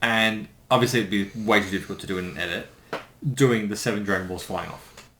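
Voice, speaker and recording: A man's voice: lowish voice, brisk, pushed and bright. A man co-hosting a casual commentary, chiming in briefly and laconically. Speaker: commentary co-host. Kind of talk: casual chat. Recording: echoey room, noisy background.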